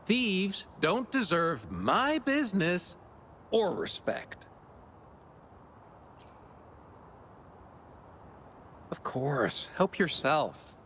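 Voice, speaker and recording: An actor playing a chatty, genial, self-assured merchant in a video game, with theatrical delivery. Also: male voice, high voice, huge pitch swings, takes it slowly, fairly bright tone, a little hiss or hum, exaggerated voice. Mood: firm. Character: chatty, genial male ore merchant and pigment dealer. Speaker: shopkeeper character.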